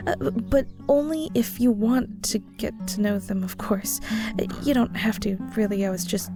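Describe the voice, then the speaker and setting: A woman playing a shy, kind ghost in an intimate audio roleplay, speaking softly and hesitantly with stammers and nervous little laughs. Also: medium pitch, normal speed, natural voice. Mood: awkward, chatty. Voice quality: soft, breathy. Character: shy, kind female ghost shade, awkward and caring. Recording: soft guitar music behind. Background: gentle guitar music.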